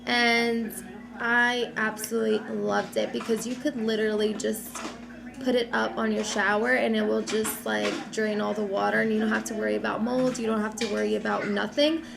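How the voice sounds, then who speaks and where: A young mom talking straight to camera, her voice a bit raspy from a cold and edged with gravelly fry. She sits a little higher in pitch than most women and rambles in a friendly, unscripted way, full of "um" and "like". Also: flat delivery, moderate pace. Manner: chatty, lots of "um" and "like". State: has a cold, slightly raspy. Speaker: mom vlogger. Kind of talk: casual chat to camera.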